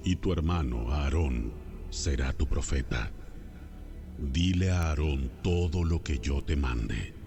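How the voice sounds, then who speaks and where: An actor playing God in a dramatized audio Bible, speaking with solemn, measured authority. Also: male voice, rumbling low, full character voice. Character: God, commanding, solemn male deity of the Bible. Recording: loud music under the voice.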